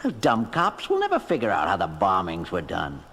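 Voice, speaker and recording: A man's voice: low-pitched, wildly swooping pitch, normal speed, a bit bright, breathy edge, heavily acted. A man playing a boastful, megalomaniac supervillain in a theatrical superhero audio drama. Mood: gloating menace. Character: gloating megalomaniac male supervillain sound engineer.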